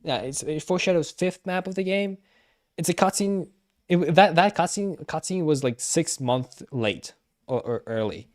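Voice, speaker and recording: A man giving casual live stream commentary, thinking aloud haltingly and repeating himself. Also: on the high side, expressive.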